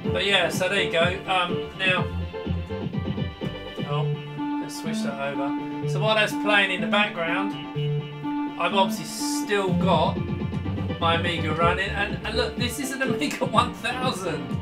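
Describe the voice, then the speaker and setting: A man's voice: high voice, measured pace, long pauses, over loud music. A man, giving an enthusiastic, rambling, casual hands-on computer demonstration. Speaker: retro computer vlogger. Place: small indoor home computer room.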